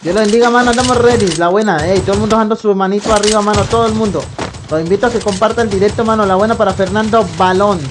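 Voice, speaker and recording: Brief: young Colombian streamer, headset mic, friendly chatter over noisy game audio with clicks. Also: male voice, high-pitched, fairly even intonation, rapid-fire. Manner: chatty, greets viewers by name, calls them brother and papi. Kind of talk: live gameplay stream chat. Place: small room at home.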